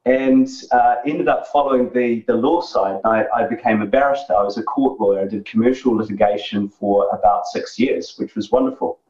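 A man's voice: mid-range voice, animated, darkish tone. New Zealand man on a distant, roomy call. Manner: measured, many fillers and restarts. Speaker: guest, tech executive. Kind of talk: remote interview. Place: dark home office. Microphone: video call. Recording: roomy, distant, dull lo-fi sound.

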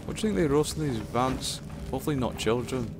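A man's voice: mid-range voice, quick pace, noisy background. A man casually commentating his gameplay, chatty and self-mocking, swearing at himself when stuck. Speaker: let's play commentator. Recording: game music playing behind.

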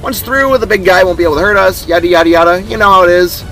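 A man's voice: sits high, fast, pushed and bright, faint music behind, voice pushed up. A man voicing a young female skeleton guide in a story, breezy and flippant.

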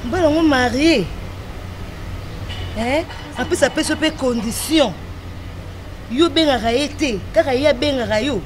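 A woman's voice: sits high, slow pace, pauses often, slight background noise, rough recording. Scheming young Congolese woman. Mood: disapproving. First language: Lingala. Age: young adult. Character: scheming, outspoken young woman advising her friend. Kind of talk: filmed Congolese popular theatre dialogue. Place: outdoors in a walled garden.

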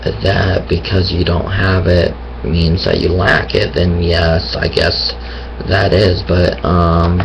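A man talking about his faith in a hesitant, thinking-aloud way, with a raspy voice and many pauses and restarts. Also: low voice, little pitch movement, highs cut off, noisy background. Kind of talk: casual monologue on faith.

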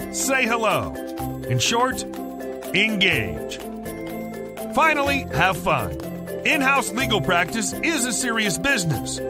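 A slow, measured announcer reads a scripted podcast introduction. The delivery rises and falls in a lively way, with loud music playing behind. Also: medium pitch.

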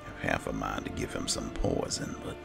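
A man with a deep, gravelly voice giving exasperated, muttering roleplay commentary as he hosts a video game. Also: fairly even intonation, fairly quick. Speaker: gaming host. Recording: game music behind the voice. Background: video game sound and music.

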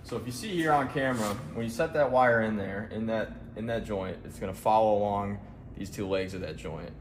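A man's voice: fairly low pitch, a little fast, a little hiss or hum. A man in a welding workshop who asks leading questions and then explains the technique step by step in a casual demonstration.

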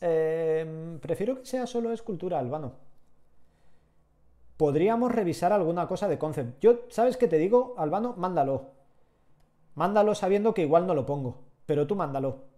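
A man's voice: a little high, normal speed. A man, chatting with viewers while teaching 3D sculpting live from a small home room. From Catalonia, Spain. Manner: relaxed, chatty, answers chat while working. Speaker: 3D sculpting instructor.

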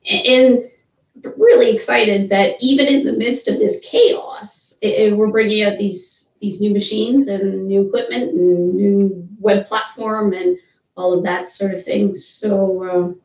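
A woman's voice: medium pitch, a little slow. A woman speaking for a sawmill company on a video call, upbeat and hesitant with many fillers. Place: office room, seated with a colleague. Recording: roomy, distant, dull video call audio.

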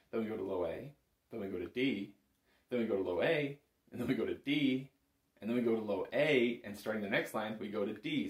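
A middle-aged man giving an informal, explanatory music lesson to camera, recorded on a phone in a room. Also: fairly low pitch. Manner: explanatory, often checking in with "right?". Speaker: bagpipe instructor. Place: small indoor music room with instruments on the walls. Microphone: phone camera a short distance away. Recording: roomy echo, phone recording.

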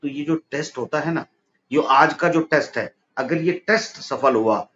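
Forceful middle-aged man on a video call. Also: moderate pace. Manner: forceful, rising to high emphatic peaks. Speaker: political commentator. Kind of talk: animated political debate on a video call. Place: office room, indoors. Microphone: video call webcam. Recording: dull lo-fi call audio with faint noise.

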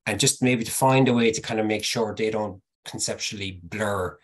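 A man's voice: on the low side, moderate pace, slightly rough. A man in his thirties giving academic feedback on a video call, hedging with many qualifiers, from a plain room through a laptop mic. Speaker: discussant.

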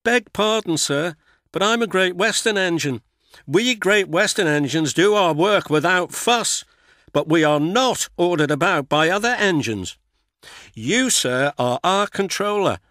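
A man puts on a proud, steady male engine from a storybook, formal and courteous, saying sir at every turn. The voice sits raised and lively, with a dry close sound. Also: high-pitched, measured pace, dry recording, voice pushed up. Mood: firm. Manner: courteous, formal, calmly firm. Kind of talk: storybook character voice.

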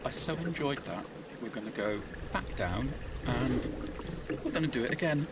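A man in his sixties filming himself aboard a small open sailing boat on an estuary. He talks in a relaxed, chatty, self-mocking way, with many fillers. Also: mid-range voice, normal speed. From England. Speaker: sailing vlogger. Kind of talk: casual vlog narration. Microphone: action camera mounted on the boat. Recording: clear wind and water noise.

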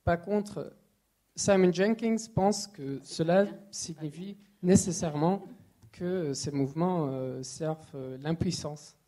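A woman from England with an English accent puts a prepared question to an academic panel, deliberately and with pauses. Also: sits low, mellow. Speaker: audience member asking a question. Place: lecture hall at a public panel.

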